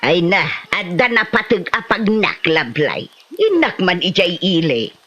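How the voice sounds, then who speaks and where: A woman plays a village moneylender in a comedy drama, speaking with an Ilocano Filipino accent. Her voice is bright and forceful and swings widely in pitch. She is exclamatory, neighbourly and proud of her cash. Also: big pitch swings, measured pace, lo-fi sound, exaggerated voice. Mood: boastful.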